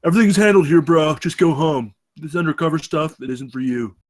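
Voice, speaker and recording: Man playing a cocky, animated college bro. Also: fairly high pitch, fairly quick. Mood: dismissive. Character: cocky, flexing undercover campus security guard bro. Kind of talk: live screenplay table read.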